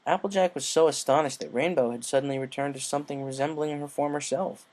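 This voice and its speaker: A man's voice: a little high, fairly even intonation, fast, a little mellow. A man narrating a written story, reading from the text as a storyteller.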